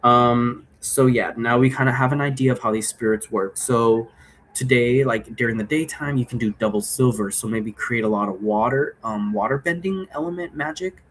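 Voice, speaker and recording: Man, medium pitch, casual explainer, rambling, halting delivery, checks in with listener, faint background noise. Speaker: host explaining role-play game rules.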